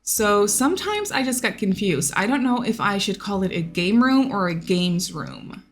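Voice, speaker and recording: A woman's voice: medium pitch, lively intonation, normal speed. A young woman whose first language is Dutch, chatting casually in a voice-over about a building game, with lots of fillers and repeated words. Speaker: Sims builder YouTuber. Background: soft background music.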